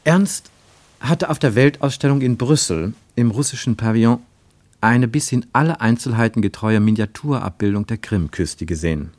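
A man with pronunciation reading literary prose for radio, measured and deliberate, with emphatic phrasing and pauses. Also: medium pitch, animated, a little mellow, no echo. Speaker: narrator, reader of literary prose. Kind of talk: scripted literary narration for German radio.

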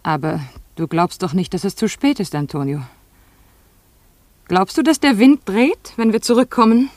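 An actress playing a wounded, yearning woman in a radio play, husky and breathy, putting poetic questions in an intimate way. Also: medium pitch, normal speed, darkish tone, dry recording, lightly acted. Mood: desperate yearning. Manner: poetic, questioning, sliding into whispers. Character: wounded, yearning rich woman confiding in her boatman. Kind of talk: intimate literary radio drama acting.

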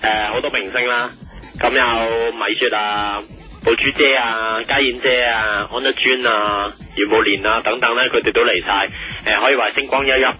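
A man's voice: mid-range voice, steady tone, normal speed, sharp tone, faint music behind. A man playing a bumbling, self-important TV reporter in a comedy parody of the news, rambling eagerly and interrupting in Hong Kong Cantonese. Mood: eager, flustered.

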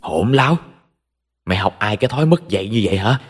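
A man voicing an authoritative older father in an audio story, blunt and measured, with a Southern Vietnamese accent. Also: rumbling low, lively intonation, slight background noise. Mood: angry. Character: stern, authoritative older father, low and steady. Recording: long hall reverb.

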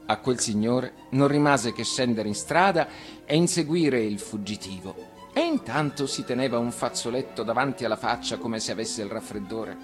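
A man's voice: mid-range voice, moderate pace. A man reading a children's tale expressively as an actor, with music behind him. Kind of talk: expressive storybook narration. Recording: radio reading over music.

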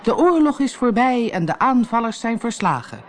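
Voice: mid-range voice, sing-song, normal speed, voice pushed up, natural voice. A storyteller voicing a proud, boastful ancient Greek king in a grand, declaiming manner for a storybook. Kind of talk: storybook character voice.

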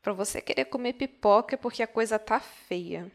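A woman's voice: mid-range voice, normal speed, natural voice. A woman with a Brazilian accent playing a caring, slightly exasperated woman in a naturalistic horror audio drama. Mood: casual, exasperated.

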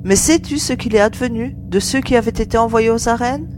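A woman's voice: a little high, fairly quick, lightly acted. A woman playing an earnest young female adventurer who cares about her comrades, in an audio serial. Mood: concerned. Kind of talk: acted dialogue in an amateur audio serial. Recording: clear hum and background noise.

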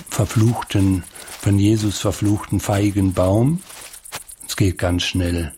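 A man retelling a story colloquially, halting and musing, repeating his phrases. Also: sits low, dark tone, slight background noise. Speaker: commentator retelling the Passion story.

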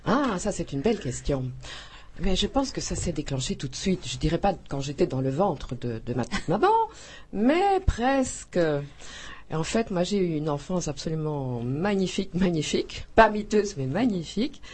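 A husky-voiced woman from Belgium describes her writing in a radio interview. She speaks with enthusiasm but stops and restarts often, and laughs easily. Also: on the low side, very animated, moderate pace. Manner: warm, effusive, hesitant with many restarts, laughs often. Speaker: author.

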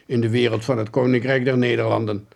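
A man's voice: a little high, a little fast, a little mellow, voice pushed up, natural voice. A man voicing a shrewd police detective who speaks drily and curtly. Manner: curt, commanding.

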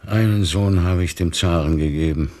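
An actor playing a worried, pious old father in a radio drama, brooding and plaintive, with a gravelly, husky voice. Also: sits low, moderate pace, dark tone, natural voice. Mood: bitter regret. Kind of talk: literary radio drama acting.

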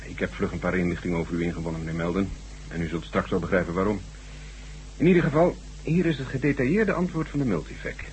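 An actor playing an obliging, principled chief computer programmer in a radio drama, measured, courteous and confiding. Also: male voice, low-pitched, darkish tone, lightly acted. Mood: businesslike. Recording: noisy, humming old radio recording.

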